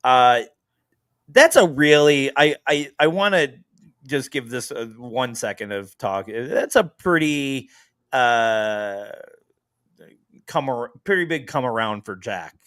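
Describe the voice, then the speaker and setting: A man's voice: mid-range voice, animated, slow pace, a bit bright, no echo, studio quality. A man hosting a casual sports podcast who jokes, laughs a lot and swears now and then. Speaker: podcast host.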